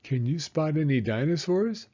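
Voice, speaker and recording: A man voicing a small, nervous young snail with an uneasy, hushed manner in a storybook. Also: on the high side, lively intonation, moderate pace, dull audio, pitched above the performer's own voice.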